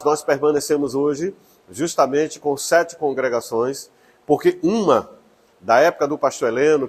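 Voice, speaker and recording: A man who is a church pastor, giving an earnest personal testimony and pausing now and then with fillers. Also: fairly high pitch, husky.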